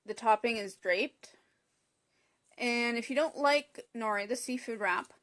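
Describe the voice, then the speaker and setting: A woman's voice: fairly high pitch, fairly bright tone. Chatty Canadian woman hosting a cooking stream. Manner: chatty and apologetic, greets viewers by name. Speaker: cooking show host. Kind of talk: live-streamed cooking demonstration. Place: home kitchen.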